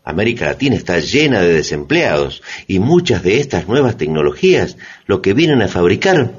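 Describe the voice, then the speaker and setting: An actor as an earnest, questioning narrator delivering scripted radio commentary. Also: male voice, sits low, very animated, fairly quick, slightly rough, exaggerated voice. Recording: dull lo-fi radio recording.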